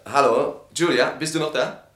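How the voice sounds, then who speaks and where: An actor playing an uneasy, civil husband in a German radio drama, with a hesitant, politely formal way of talking. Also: male voice, on the high side, moderate pace, a bit bright, roomy sound, close to an everyday voice. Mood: flustered.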